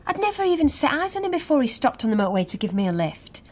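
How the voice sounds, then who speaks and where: A young hitch-hiker under questioning in a naturalistic radio play. She is defensive and speaks in halting phrases. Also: high-pitched, very animated, loud music behind the voice. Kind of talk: naturalistic radio drama acting.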